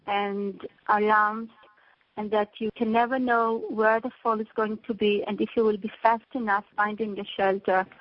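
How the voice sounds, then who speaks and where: A woman, a teacher and mother, giving an earnest prepared speech to donors and pausing on fillers. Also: mid-range voice, no echo, muffled recording.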